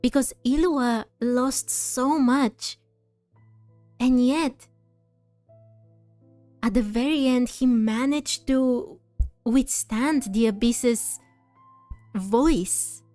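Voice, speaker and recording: A woman muses casually over a story game she is playing. Her fairly high voice is gentle and unhurried, recorded dry and close, with light music behind. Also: dry recording. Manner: musing, thinking aloud. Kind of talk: casual gameplay commentary.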